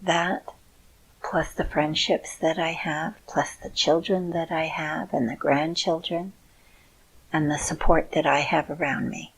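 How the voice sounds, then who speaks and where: A middle-aged woman speaks to her viewers with slow, soothing encouragement. Her voice sits low and carries a gravelly, husky texture. She takes her time over every phrase. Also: steady tone, low-quality recording. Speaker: video host. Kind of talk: heartfelt personal talk to viewers.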